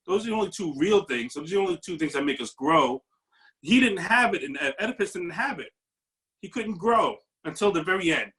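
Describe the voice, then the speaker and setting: A man speaks earnestly on a video call, working his thoughts out aloud with a few restarts. His voice sits a little higher than most men's. He talks as a paramedic in a group discussion. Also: pushed and bright, rough recording. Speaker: paramedic audience member. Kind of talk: reflective discussion comment.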